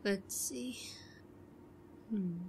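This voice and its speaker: Woman acting a slow, whispery, obsessive yandere schoolgirl. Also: a little hiss or hum. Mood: furtive, scheming. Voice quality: breathy, often dropping to a whisper. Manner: hushed muttering to herself, halting. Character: shy, obsessive yandere schoolgirl, secretly scheming. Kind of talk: roleplay audio drama monologue. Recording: low-quality recording with faint crowd murmur.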